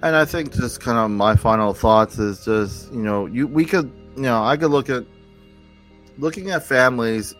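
Male host, mid-pitched, earnest and halting, soft music bed behind. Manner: earnest, halting, restarts often. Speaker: podcast host. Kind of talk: reflective casual conversation.

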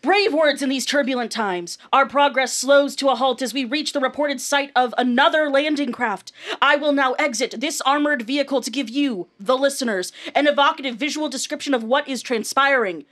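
A woman's voice: high-pitched, normal speed, a bit bright. A woman playing an earnest, florid war correspondent reporting dramatically in a radio play. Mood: earnest, dramatic. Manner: grandiose, dramatic.

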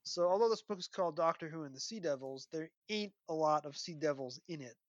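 A man's voice: on the high side, animated, fairly quick, dry recording, dull audio. A man hosting a podcast, discussing books in an analytical, enthusiastic, conversational way. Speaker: podcast host.

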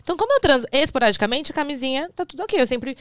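A woman's voice: high-pitched, racing, dry recording. A young woman from Brazil talking frankly and giggling easily as a guest in a casual chat. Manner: frank, giggly, lively storytelling. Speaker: interview guest.

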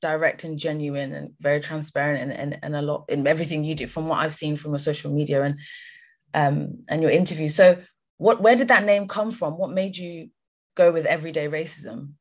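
Brief: Kenyan-born female host, low-pitched, chatty and affirming. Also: fairly even intonation, a little fast. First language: Swahili. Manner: warm affirming interjections, many fillers. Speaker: podcast host. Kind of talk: casual conversational interview.

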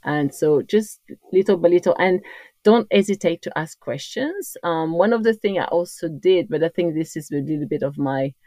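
A woman in her fifties with a French accent talks in a loose, rambling way, often restarting her sentences. Her voice sits on the low side. The sound is dry and close. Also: expressive, moderate pace, mellow, no room sound. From Corsica, France. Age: 50s. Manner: chatty and rambling, with many restarts and fillers. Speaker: podcast guest, trail runner. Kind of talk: casual interview chat.

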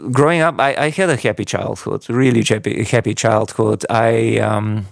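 A man's voice: fairly low pitch, normal speed, vocal fry, dry recording, clean studio sound. A man, a guest chatting casually in an interview, laughing often with many fillers. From Bulgaria. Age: 30s. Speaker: guest conductor.

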